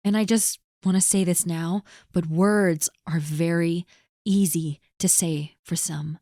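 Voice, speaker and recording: A woman performing a scripted audio roleplay, gently and earnestly reassuring a friend who is spoken to directly. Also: lowish voice, steady tone, slow pace, no echo, clean studio sound.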